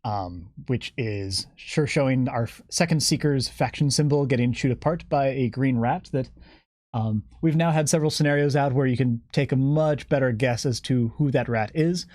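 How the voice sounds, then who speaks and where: A man's voice: medium pitch, moderate pace, a little mellow, no echo. A man chatting unscripted as a guest explaining game lore, with a wry, playful manner.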